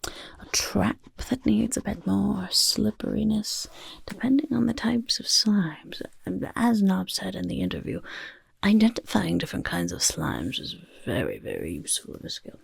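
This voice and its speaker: A woman voicing an eccentric, self-important goblin overlord in soft-spoken ASMR roleplay, half whispering in a breathy rasp and fussing with repeated words. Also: mid-range voice, sing-song, moderate pace, no room sound, full character voice. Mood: soothing, matter-of-fact. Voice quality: breathy, croaky, raspy whisper. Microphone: close, dry ASMR microphone.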